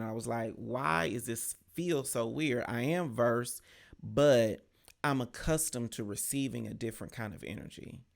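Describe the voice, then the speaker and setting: A young man talking candidly and casually as a podcast host, with a gravelly voice and frequent pauses and "um"s. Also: mid-range voice. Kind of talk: casual chat to camera.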